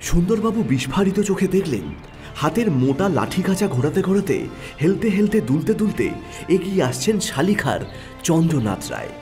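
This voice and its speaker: A man's voice: high voice, sing-song, moderate pace, a little mellow, soft background music. A man narrating a detective story in a dramatic, scripted storytelling style.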